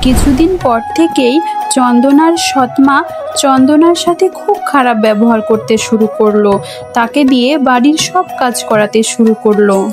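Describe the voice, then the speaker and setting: High-pitched woman storyteller. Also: normal speed. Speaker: narrator. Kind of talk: scripted children's story narration. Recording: music behind the voice.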